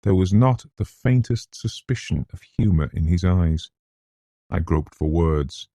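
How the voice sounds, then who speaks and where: A man with a British accent narrating a gothic story in a scholarly, measured, formal manner, like a classic audiobook. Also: deep voice, lively intonation, dark tone, no echo.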